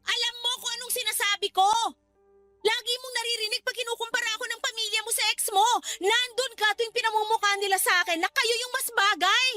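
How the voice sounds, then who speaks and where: A woman's voice: extremely high pitch, steady tone, quick pace, music in the background. A woman acting as an indignant, hurt young girlfriend who argues back sarcastically in a radio drama. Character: exasperated, hurt young girlfriend standing her ground.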